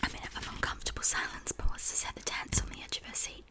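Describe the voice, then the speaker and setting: A woman reads fiction in a breathy whisper, ASMR style. She narrates a first-person teen story, keeping her voice hushed from phrase to phrase. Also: fast talker. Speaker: reader of a story.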